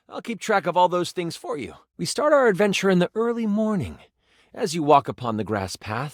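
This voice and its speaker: A man's voice: a little high, no echo, studio quality. A man playing an eager game master who narrates a fantasy tabletop adventure with dramatic expression in a video game. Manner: dramatic, expressive, with aside remarks. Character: male tabletop game master, personified D20, eager theatrical storyteller.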